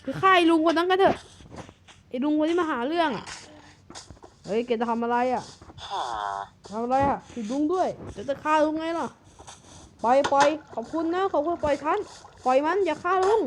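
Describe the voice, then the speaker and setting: High-voiced playful cartoon narrator woman. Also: fairly quick, pauses often, slight background noise. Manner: lively, switches between characters' lines.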